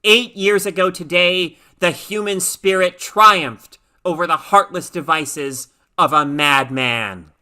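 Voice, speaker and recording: A woman's voice: on the low side, takes it slowly, hardly any pauses, pushed and bright. A woman playing a stern female government leader in a story, giving a solemn, emphatic, declamatory speech. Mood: triumphant, solemn.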